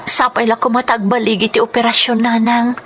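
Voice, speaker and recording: A woman's voice: on the high side, level tone, a little fast, a bit bright, a little hiss or hum, close to an everyday voice. A woman with an Ilocano accent playing a hopeful, concerned young daughter, speaking earnestly in a radio drama.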